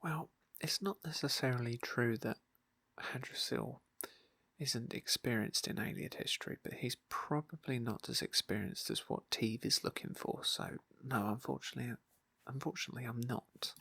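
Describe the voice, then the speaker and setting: A man hosts an ASMR roleplay playthrough of a fantasy game. His voice is very breathy and whispery, with creaky fry and a bright, sharp edge. He talks softly and hesitantly, in polite, wordy asides, close to the microphone. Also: mid-range voice, moderate pace, no room sound. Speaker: host narrating a game playthrough.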